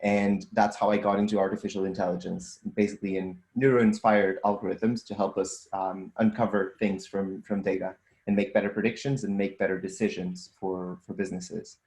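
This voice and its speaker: A young man with a Portuguese accent and a fairly low voice talks through his answers at an easy pace, pausing on ums and restarting phrases as he thinks. His voice carries a noticeable room echo. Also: little pitch movement, a little mellow. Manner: thoughtful, with frequent ums and restarts. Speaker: guest, data scientist and entrepreneur. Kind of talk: casual interview answers.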